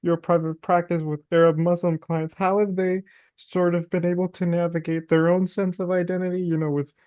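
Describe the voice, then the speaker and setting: Mellow-voiced woman host, dry close sound. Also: fairly low pitch, flat delivery, fairly quick, no echo. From Colombian and Hungarian family. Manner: gentle questions, warm "mm-hmm" backchannels. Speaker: podcast host. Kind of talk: interview conversation.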